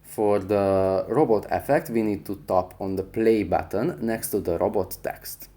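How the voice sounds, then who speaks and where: Male tutorial host, low-pitched and dark-toned, scripted how-to narration for an online video. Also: a little slow.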